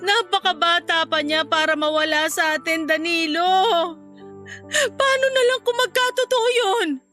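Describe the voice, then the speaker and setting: A woman's voice: extremely high pitch, unhurried, sharp tone, faint music behind, exaggerated voice. A woman playing an anxious, grief-stricken mother in a radio drama, pleading and crying, with a Filipino accent. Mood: tearful, fearful. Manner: pleading, tearful delivery. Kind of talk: emotional radio drama acting.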